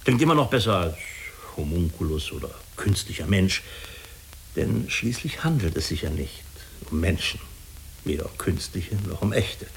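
A man playing a diplomatic, persuasive agency official in a German radio drama, speaking formally and deliberately with small hesitant pauses. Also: deep voice, big pitch swings, full character voice. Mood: probing, smooth. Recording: clearly hissy radio recording.

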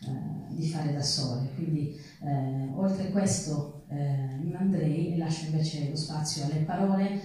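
Low-voiced woman hosting in an echoey theatre. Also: unhurried, few pauses, dark tone, a little hiss or hum. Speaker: event host. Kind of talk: spoken introduction and thanks to an audience. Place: theatre stage. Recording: very echoey theatre hall, distant mic.

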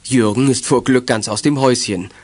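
An actor as the earnest storyteller of a children's detective radio play, reading scripted narration. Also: male voice, medium pitch, fairly even intonation, brisk, very noisy, natural voice. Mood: earnest storytelling. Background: music.

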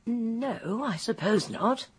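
An actress playing a shrewd, composed elderly English village spinster in a radio drama, precise and genteel. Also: female voice, a little high, lively intonation, takes it slowly, husky, exaggerated voice. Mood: musing, gossipy. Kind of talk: radio drama acting with narration.

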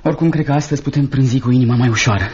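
A man's voice: medium pitch, darkish tone, natural voice. Male actor as proud young man, mid-range voice, earnest, dutiful, radio theatre, dull crackly recording. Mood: resigned, earnest. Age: young adult. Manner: earnest, indignant pride. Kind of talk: Romanian radio theatre acting. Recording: dull, noisy old recording with clicks.

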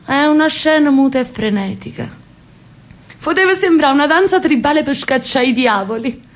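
An actress playing an elderly sister from Naples in a radio drama, telling a street story with lively, affectionate chatter. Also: female voice, high voice, normal speed. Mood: storytelling. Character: elderly Neapolitan sister, talkative, loves her city.

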